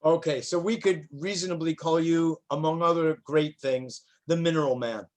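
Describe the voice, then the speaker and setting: A man moderating an online panel, effusive and flattering, laughing often. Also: fairly high pitch, moderate pace.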